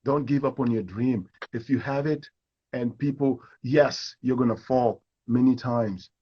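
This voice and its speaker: A middle-aged man from Kenya tells stories with lively energy as an interview guest. His voice is husky and mid-pitched, and the sound is dull and lo-fi. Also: measured pace. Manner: animated storyteller who acts out quoted voices. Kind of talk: storytelling in a live interview.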